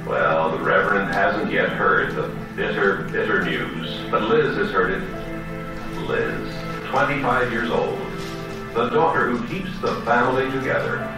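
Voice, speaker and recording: Male vintage soap narrator: unhurried, solemn, widely inflected, over loud music. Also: fairly low pitch, animated. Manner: solemn, theatrical. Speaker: announcer and narrator. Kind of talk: old-time soap opera narration. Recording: very echoey old broadcast, loud music, distant.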